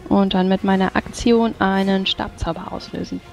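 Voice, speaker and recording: A woman's voice: medium pitch, steady tone, normal speed, gravelly, a little mellow, faint music behind. A woman chatting casually as a player in a tabletop role-playing game. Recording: dull lo-fi voice-chat audio.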